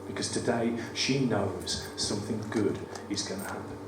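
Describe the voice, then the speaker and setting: Croaky West Midlands man reading poems. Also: on the low side, normal speed, recorded from a distance. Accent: West Midlands English. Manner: wry, self-deprecating banter between poems. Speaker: performance poet. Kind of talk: live poetry reading. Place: studio theatre at a live cabaret event. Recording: roomy live venue, mic from afar, audible room noise. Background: audience ambience.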